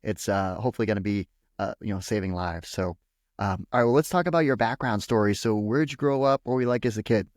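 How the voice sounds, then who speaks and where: A man's voice: on the low side, fast, dry recording, clean studio sound. A man speaking as a podcast host, interviewing a guest in a conversational style.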